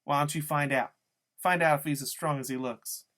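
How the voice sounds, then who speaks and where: A man's voice: a little high, steady tone, moderate pace, a bit bright, natural voice. A man voicing a giggly, mocking, slangy tough woman in a story reading. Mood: goading. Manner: teasing, taunting, slangy. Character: giggly, mocking, needling tough woman who drinks beer.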